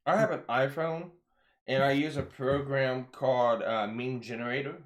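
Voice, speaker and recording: A man hosts a casual live stream in a voice of ordinary height. He speaks loosely and hesitantly, filling pauses with "uh" as he relays viewer comments. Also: medium pitch, steady tone, dull audio. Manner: hesitant, with fillers. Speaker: show host.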